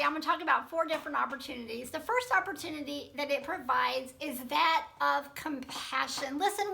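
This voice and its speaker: A woman leadership coach giving a recorded teaching talk, her voice gravelly from allergies, earnest and steady, laying out points in lists with frequent ums. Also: high-pitched.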